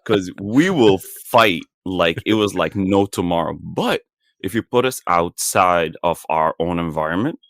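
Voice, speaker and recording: A man's voice: low voice, unhurried, a bit bright, no room sound. A man in his thirties hosting a podcast, chatting casually and breaking in with follow-up questions. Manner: interjecting, asks follow-up questions. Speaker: podcast host. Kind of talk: casual interview chat.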